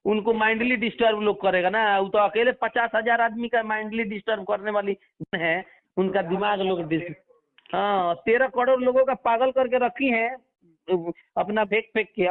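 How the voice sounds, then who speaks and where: A man's voice: sits high, fairly even intonation, normal speed. A man with a Bhojpuri accent talking bluntly and scornfully as an opinionated guest on a phone call. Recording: tinny narrow-band phone line.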